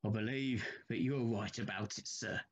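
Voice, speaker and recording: A man's voice: on the low side, fairly even intonation, normal speed, close to an everyday voice. A man playing a deferential, dutiful railway signalman in an audio play, humble and hesitant in manner, on a slightly muffled recording. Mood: grateful, resolute. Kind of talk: dramatic audio play acting.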